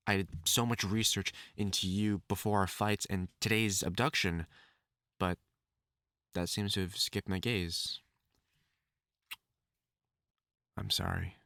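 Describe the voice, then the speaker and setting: A man's voice: deep voice, fairly even intonation, a little slow, vocal fry, sharp tone, no room sound, clean studio sound, heavily acted. A man acting a lovesick, obsessive supervillain scientist with formal, theatrical diction in a dramatic audio roleplay monologue. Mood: sorrowful, apologetic. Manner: formal, theatrical diction, tender endearments breaking into anguished outbursts.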